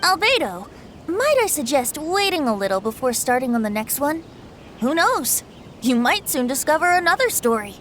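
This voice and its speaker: High, lilting boyish bard. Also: female voice, very animated, normal speed, background noise. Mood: breezy. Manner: airy, theatrical, flowery phrasing. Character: carefree youthful male bard, playful, poetic and self-admiring. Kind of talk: video game character acting. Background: game music.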